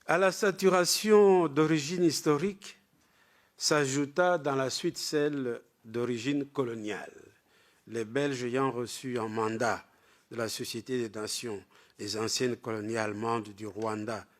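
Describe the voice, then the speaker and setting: A man delivering a formal academic lecture from a prepared text, leaning emphatically on the openings of his sentences. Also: mid-range voice. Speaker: lecturer presenting with maps.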